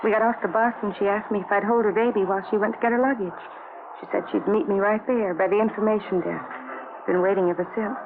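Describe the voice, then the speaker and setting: A woman's voice: mid-range voice, fairly even intonation, quick pace, close to an everyday voice. An actress playing a talkative, polite and fretful American woman traveler in a radio drama. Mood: chatty. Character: chatty, fretful, kindly female bus traveler. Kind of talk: 1950s radio drama dialogue. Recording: noisy, tinny old radio recording.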